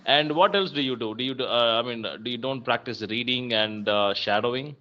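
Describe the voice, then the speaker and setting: A man with a Tamil accent hosting an English practice chat, speaking clearly in a friendly, encouraging way. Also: mid-range voice, brisk, muffled recording. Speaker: host, English conversation partner.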